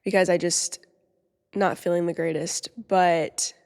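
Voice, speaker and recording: A woman's voice: on the low side, steady tone, unhurried, vocal fry, darkish tone, clear, resonant tone, big-room sound. A woman in her twenties hosting her own podcast in casual, upbeat chat, nasal and congested with a slightly sore throat. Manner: upbeat, chatty, many "like" fillers. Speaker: podcast host.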